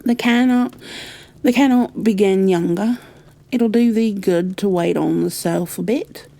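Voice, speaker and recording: A woman's voice: medium pitch, unhurried, creaky, dark tone, pitched above the performer's own voice. A woman voicing a blunt, good-natured young housemaid with a Yorkshire accent in a storybook reading. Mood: frank, matter-of-fact. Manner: blunt, chatty, plain-spoken.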